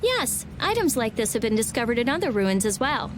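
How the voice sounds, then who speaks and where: Snarky teen girl mage from a game, high lively voice, know-it-all, music behind. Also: a little fast. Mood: matter-of-fact. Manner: blunt, sarcastic, know-it-all. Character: brash, prickly teenage girl mage and researcher. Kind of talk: English video game voice acting.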